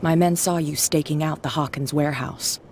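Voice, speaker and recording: A woman's voice: deep voice, steady tone, a little fast, darkish tone, lightly acted. Actress as bootlegger boss woman, territorial, taunting, commanding, game dialogue, light background noise. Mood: menacing, commanding. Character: menacing female bootlegger gang boss, territorial and mocking. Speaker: gang leader.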